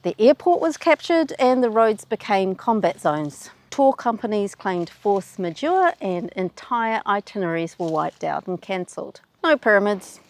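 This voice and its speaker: A woman with a New Zealand accent hosting a travel explainer in a brisk, wry, plainspoken way. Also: mid-range voice, big pitch swings. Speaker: travel video host. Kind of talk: scripted explainer.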